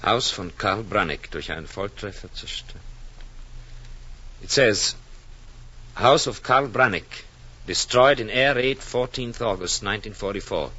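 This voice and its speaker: An actor playing an earnest husband in a 1940s radio drama, speaking formally and deliberately. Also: male voice, on the low side, lively intonation, pushed and bright, slight background noise. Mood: stunned, grave. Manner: solemn, deliberate, formal phrasing. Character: earnest Austrian husband returning to his ruined hometown. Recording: muffled old radio broadcast, music behind.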